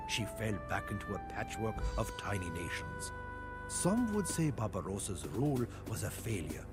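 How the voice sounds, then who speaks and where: An actor playing a solemn storyteller, giving grave, theatrical scripted narration of medieval history for a video game. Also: male voice, on the low side. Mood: reflective.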